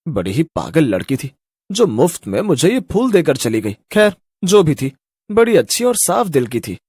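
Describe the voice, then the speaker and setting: Man acting a husky, naive young man. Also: on the high side, very animated, moderate pace, a little mellow, no echo, studio quality. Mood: fond. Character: lovestruck, naive young man living alone in the city. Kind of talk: dramatized horror storytelling.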